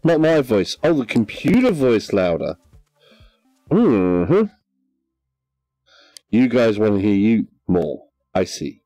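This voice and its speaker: Slow, mellow gamer streaming. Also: male voice, fairly low pitch, no room sound, soft background music. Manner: relaxed, hesitant, with many ums and restarts. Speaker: livestream gamer. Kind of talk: casual livestream chat.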